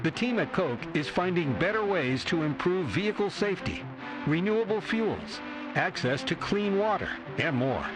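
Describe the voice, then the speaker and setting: A man's voice: high-pitched, big pitch swings, normal speed, rough recording. A man reading a scripted corporate radio advert as an announcer. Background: music bed.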